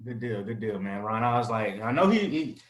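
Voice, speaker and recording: A man's voice: lowish voice, animated, a little fast. A man in his thirties hosting a podcast interview in an easygoing way, often answering with short agreements. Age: 30s. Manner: easygoing, affirming with repeated "right" and "good deal". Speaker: podcast host. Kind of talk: casual interview. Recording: echoey room.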